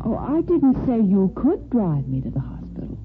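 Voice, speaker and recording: An actress playing a reserved, preoccupied head nurse in a vintage radio soap opera, speaking in a hesitant, guarded manner. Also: female voice, medium pitch, huge pitch swings, moderate pace. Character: reserved, preoccupied female nursing superintendent. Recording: lo-fi vintage radio, faint music.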